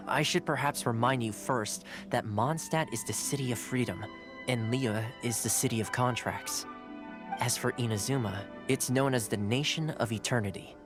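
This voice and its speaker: A man's voice: mid-range voice, close to an everyday voice. Actor as a courteous young male retainer. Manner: polite, formal, measured. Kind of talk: polished video game voice acting, explanatory. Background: music.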